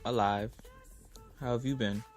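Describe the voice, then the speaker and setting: An actor playing a young man in love in an audio drama, speaking earnestly and in a measured way. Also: medium pitch, steady tone, faint music behind, lightly acted. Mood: casual.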